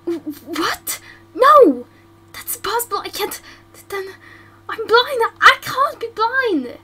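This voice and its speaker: A frightened young bandit girl who stammers and pleads in a panic, as a woman voices her. High-pitched and slow, over a faint hum. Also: sharp tone. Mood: panicked. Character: frightened young female bandit, suddenly blind.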